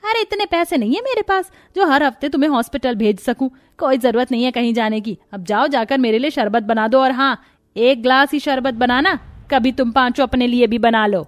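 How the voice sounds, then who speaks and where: A woman's voice: sits high, fairly quick, dry recording, studio quality, natural voice. A woman voicing a stingy, cunning middle-aged mother-in-law in a cartoon story, scolding and accusing in a nagging manner. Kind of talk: Hindi cartoon moral-story dialogue.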